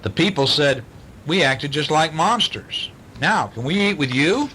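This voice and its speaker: A man's voice: fairly high pitch, animated, a little slow, pushed and bright, slight background noise, voice pushed up, rough recording. A man voicing naive, literal-minded young swamp monsters in a children's storybook, speaking in a plain, earnest way. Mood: eager.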